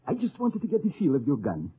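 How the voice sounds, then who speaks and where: An actor playing a wily, exuberant French resistance leader in old radio drama style, theatrical, with a French accent. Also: male voice, on the high side, slightly rough, full character voice. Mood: jovial, amused. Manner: theatrical, repetitive, chuckling. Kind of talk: 1940s-50s radio drama acting. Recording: tinny, band-limited old radio broadcast, crowd behind.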